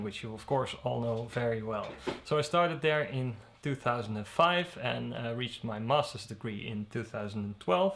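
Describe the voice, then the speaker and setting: A man in his thirties with a Dutch accent gives an online presentation. He speaks at a measured pace at a mid pitch, often pausing on fillers and restarting. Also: a bit bright. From Netherlands. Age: 30s. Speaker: guest presenter.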